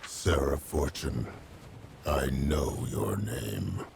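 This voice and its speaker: Haunted, vengeful revenant for a game: very slow, gravelly rasp dropping to whispers, intense. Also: male voice, extremely low pitch, darkish tone, slight background noise, full character voice. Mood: menacing. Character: vengeful undead male killer, haunted, brooding. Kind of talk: video game cutscene acting.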